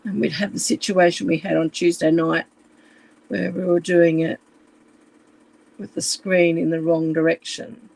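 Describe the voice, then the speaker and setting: A woman with a croaky voice, chatting casually and apologetically on a home live stream while fixing her phone camera. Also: fairly low pitch, level tone, unhurried, long pauses, a little mellow, no echo, rough recording. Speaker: live stream craft demonstrator. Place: room at home.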